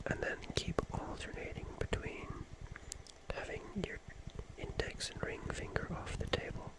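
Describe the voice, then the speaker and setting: A young man whispering casual, rambling ASMR in a hushed, gentle manner with long pauses. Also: low-quality recording. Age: young adult. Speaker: ASMR host.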